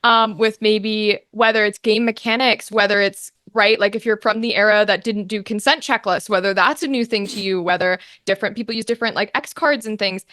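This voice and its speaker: A woman speaking as a guest in a casual interview, talking as an actor and game master, animated and given to acting out imagined quotes. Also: medium pitch, a little fast, hardly any pauses, no echo, studio quality.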